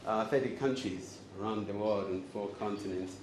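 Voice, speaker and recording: Man from Zambia, forties, deeper voice, playful speaker quizzing audience, roomy echo, background noise. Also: normal speed. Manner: joking and engaging, quizzes the crowd. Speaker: host and speaker. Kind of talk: live talk to an audience. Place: event room with audience. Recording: echoey room, audible noise.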